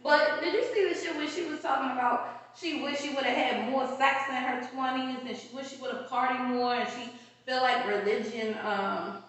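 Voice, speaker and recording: A woman gossips loudly and animatedly, full of slang, her voice sitting a little high. She sounds far from the mic in a very echoey room with long reverb and faint hiss. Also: fairly even intonation, moderate pace. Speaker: podcast co-host. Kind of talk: casual chat.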